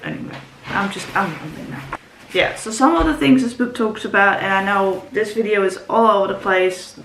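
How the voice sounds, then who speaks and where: A woman's voice: medium pitch, moderate pace, fairly bright tone, a little hiss or hum. Rambling woman. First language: Dutch. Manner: rambling, frequent ums and restarts. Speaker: book vlogger. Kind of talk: casual book talk to camera. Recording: roomy echo, faint ambience.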